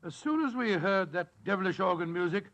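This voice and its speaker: An actor playing a bumbling, blustery English gentleman in a 1940s radio drama, hesitant and repeating himself. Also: high-pitched, expressive, a little fast, highs cut off. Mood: brisk narrating.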